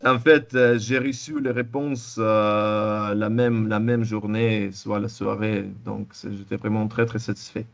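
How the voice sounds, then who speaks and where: Halting Russian-accented man, low voice. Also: flat delivery, vocal fry. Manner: halting, many restarts and repeated words. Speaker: interviewee, former student. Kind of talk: casual testimonial interview.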